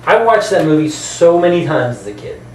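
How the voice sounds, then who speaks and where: A man's voice: a little high, sing-song, fairly quick, a little mellow. A man co-hosting a casual movie chat, speaking informally with some mild swearing. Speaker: co-host. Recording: roomy, distant mic, faint background noise.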